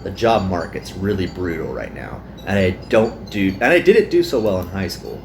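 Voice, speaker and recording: A man's voice: low voice, animated, moderate pace, soft background music. A man voicing a weary, self-deprecating young male worker in a visual novel. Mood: earnest, embarrassed.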